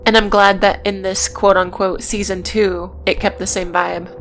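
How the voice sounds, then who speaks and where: A woman's voice: mid-range voice, level tone, normal speed, fairly bright tone, poor audio. Wry woman narrating over music. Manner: wry, sardonic asides. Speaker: video essay host. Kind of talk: scripted narration with casual asides.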